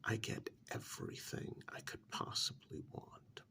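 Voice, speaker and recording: A man playing a smug, pampered captive in a soft-spoken ASMR role-play, drawling sardonically with long pauses and feigned innocence. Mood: smug, boastful. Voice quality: soft, half-whispered, gravelly.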